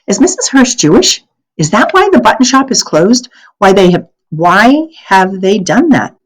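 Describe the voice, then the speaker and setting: A woman's voice: mid-range voice, big pitch swings, a little slow, slightly rough, voice pushed up. A woman reading a children's novel aloud, voicing an eager, indignant ten-year-old schoolgirl with an earnest, questioning way of talking. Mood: indignant, puzzled.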